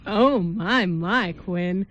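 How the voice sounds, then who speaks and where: A woman's voice: mid-range voice, very slow, a bit bright, dull audio. A woman voicing a teasing, encouraging male groom and loyal buddy in an amateur radio play, playful and reassuring.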